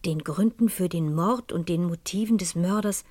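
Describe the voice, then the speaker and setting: An actress playing a composed, probing, melancholy lady in a German radio crime drama, speaking and narrating in a formal, deliberate way. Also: on the low side, a little mellow, no echo, lightly acted. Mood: anxious, brooding. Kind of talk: German radio play acting with reflective narration.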